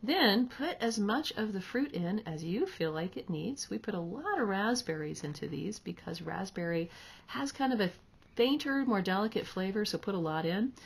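Chatty woman with a husky voice. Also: mid-range voice, animated, normal speed. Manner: chatty, upbeat, explaining as she goes. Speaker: host. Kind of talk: casual kitchen demonstration. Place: home kitchen indoors. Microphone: camera at counter distance.

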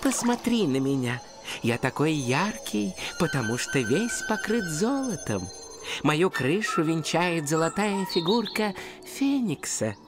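Cartoon casting: ancient golden pavilion, low-pitched, solemn poet, measured delivery, music underneath. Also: female voice, very animated. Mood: serene, poetic. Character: serene old Japanese golden temple pavilion, poetic and solemn. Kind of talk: children's cartoon acting. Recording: music behind the voice.

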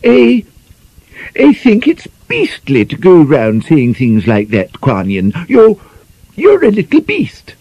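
A man's voice: a little high, huge pitch swings, unhurried, husky, dry recording, lo-fi sound, pitched above the performer's own voice, full character voice. A man voicing a prim young woman of twenty-four who protests indignantly at her friend's gossip.

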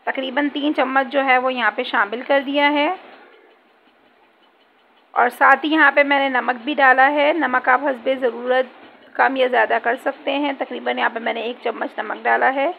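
A woman talks viewers through a home recipe in her kitchen, explaining each step in a loose, chatty way. Her voice sits a touch high with a gravelly edge. The sound is dull and lo-fi with a faint hiss behind it, as if picked up on a phone over the stove. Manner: chatty step-by-step explaining with frequent filler phrases. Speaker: cooking channel host. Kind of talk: home cooking tutorial narration.

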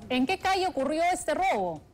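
High-voiced news anchor woman. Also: very animated, normal speed. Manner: short, direct questions. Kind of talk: studio news presenting.

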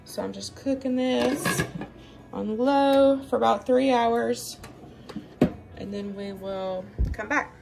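A woman's voice: a little high, takes it slowly, vocal fry, music in the background. A woman, chatting casually through her home cooking and cleaning routine. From Southern United States. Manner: chatty, homey, relaxed explaining. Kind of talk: casual voice-over narration.

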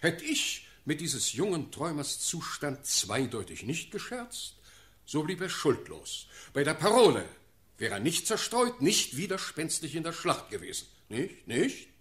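An actor playing an imperious, quick-tempered German ruler in a classical verse radio play, with declamatory, theatrical speech. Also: male voice, a little high, big pitch swings. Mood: sceptical. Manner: declamatory, theatrical, sharp swings in force. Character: imperious, quick-tempered German prince-elector, commanding and sardonic.

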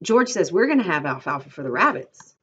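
Woman acting agitated, childlike ranch hand, husky voice. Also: fairly low pitch, very animated, brisk, a bit bright, highs cut off, heavily acted. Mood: eager, indignant. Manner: rustic dialect, insistent and pleading. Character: childlike, trusting, easily agitated ranch worker man.

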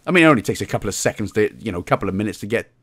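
A man's voice: fairly low pitch, expressive, very fast. A man with a Welsh accent giving a casual game walkthrough with cheeky, jokey asides. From Wales. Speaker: video game guide host.

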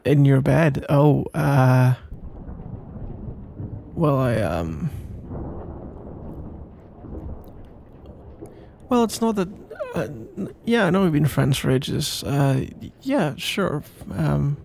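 A man playing a shy, sleepy, smitten young best friend in an intimate ASMR roleplay, stammering and awkward, with a gravelly, breathy voice. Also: on the high side, very animated, darkish tone, a little hiss or hum, heavily acted. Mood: awkward, flustered. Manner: halting, stammering, awkward, mumbling.

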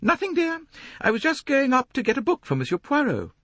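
A man voicing a pompous, gushing older woman novelist in a high, complaining voice. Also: wildly swooping pitch, fairly quick, a bit bright, no echo, exaggerated voice. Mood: grandiose. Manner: theatrical, self-important, emphatic. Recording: dry, close audiobook recording.

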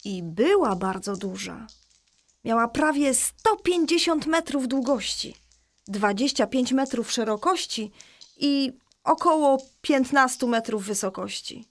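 A patient mother in a children's radio play. Her voice sits fairly high and is recorded close and dry. She tells a Bible story in a reassuring, explanatory way. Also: expressive, unhurried, no room sound, heavily acted. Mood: patient, explaining. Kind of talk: scripted children's audio drama storytelling.

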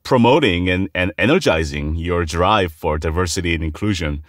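Casual interview host, highly expressive intonation, playful and quick to exclaim, no room sound. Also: no echo, clean studio sound.

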